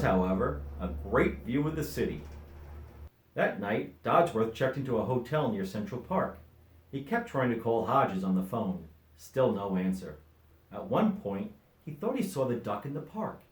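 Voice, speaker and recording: A middle-aged man reading a children's storybook aloud as an expressive narrator. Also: mid-range voice, echoey room. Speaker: volunteer story reader.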